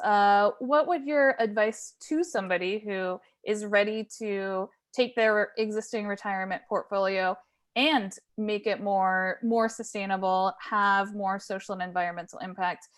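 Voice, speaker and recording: A woman's voice: mid-range voice, normal speed. Polite woman moderating a webinar.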